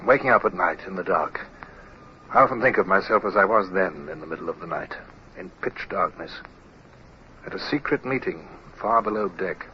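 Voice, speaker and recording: An actor playing a commanding, reflective naval lieutenant in an old radio drama, speaking crisply and with measured authority. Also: male voice, low-pitched, close to an everyday voice. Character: brisk commanding young male naval lieutenant, reflective when narrating. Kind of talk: 1950s radio drama acting and narration. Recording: dull, hissy vintage radio recording.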